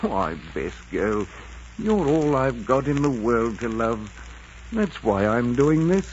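A man's voice: medium pitch, big pitch swings, darkish tone. A man playing a stern but loving middle-aged innkeeper father, earnest and sorrowful, in 1940s radio drama style. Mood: sorrowful resolve. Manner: earnest, paternal, measured. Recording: muffled, noisy 1940s radio recording.

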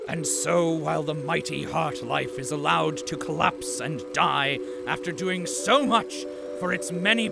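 An actor playing a pompous, embittered company loyalist delivering a grandiose audio drama monologue. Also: male voice, on the high side, gravelly, full character voice. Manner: pompous, oratorical.

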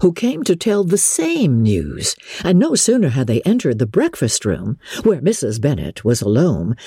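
A woman narrates classic fiction in a dramatic, measured style. Her voice is dark and husky, with very lively movement in pitch. She tells the story expressively. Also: dry recording, studio quality. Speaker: audiobook narrator.

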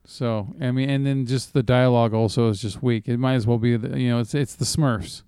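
A man chatting casually as co-host of a movie review show, profane, halting and often repeating himself. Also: mid-range voice, fairly even intonation, mellow, clear, resonant tone, dry recording, studio quality. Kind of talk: casual chat, film commentary.

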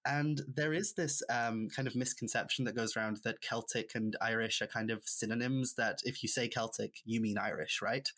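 A man's voice: medium pitch, fairly even intonation, normal speed, hardly any pauses, a bit bright. A man from rural Wales with a Welsh accent, a native Welsh speaker, chatting and telling stories as an interview guest. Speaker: podcast guest, author and witchcraft practitioner. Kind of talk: conversational interview storytelling.

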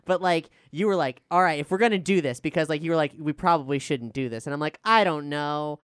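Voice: mid-range voice, fairly quick, clean tone, no room sound, clean studio sound. A podcast showrunner explaining things in an emphatic, casually sweary way during a behind-the-scenes discussion.

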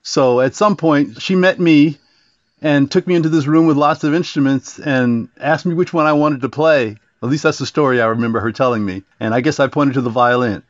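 A man from Virginia who plays cello in an orchestra, reminiscing in a relaxed way in an interview. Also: mid-range voice, a little fast, no echo, muffled recording. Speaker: orchestra cellist guest. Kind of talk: interview storytelling.

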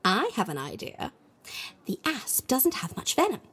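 A haughty, mischievous queen in a comic audio drama. Her voice is high and slightly husky, and it swoops widely as she flirts and schemes with theatrical vanity. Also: wildly swooping pitch, measured pace, a bit bright, full character voice.

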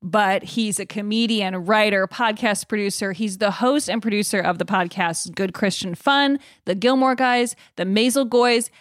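A woman's voice: medium pitch, moderate pace, hardly any pauses, no room sound, studio quality. A woman co-hosting a podcast in casual, enthusiastic, giggly chat. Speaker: podcast co-host.

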